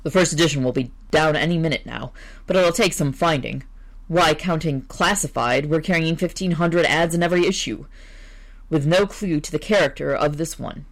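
A woman's voice: low-pitched, moderate pace. A woman voicing a stern, brusque male newspaper editor who speaks in clipped commands. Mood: curt.

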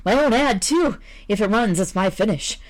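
A woman's voice: medium pitch, lively intonation, moderate pace, pitched above the performer's own voice, close to an everyday voice. A woman voicing a desperate, terrified male gambler who stammers and babbles his pleas. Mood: panicked pleading.

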